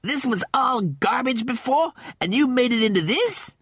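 A vain and excitable cat creature in human shape for a space comedy audiobook. The voice sits very high and swings about, squeamish and showy. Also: male voice, slow, breathy edge, no room sound, clean studio sound, full character voice. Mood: amazed. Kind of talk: audiobook character acting.

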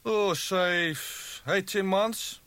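Burly Danish crewman for an audiobook: Danish accent, blunt, offhand delivery, pitched well up, very dry sound. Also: extremely slow, fairly bright tone, no room sound, full character voice. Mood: matter-of-fact. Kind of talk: audiobook character dialogue.

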